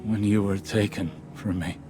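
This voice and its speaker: A man's voice: fairly low pitch, flat delivery, normal speed, warm tone, soft background music, natural voice. Man playing an intense, formal scholar-warrior. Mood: troubled. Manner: earnest, formal, weighty. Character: proud, intense male scholar-warrior, protective and troubled. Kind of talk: video game character acting.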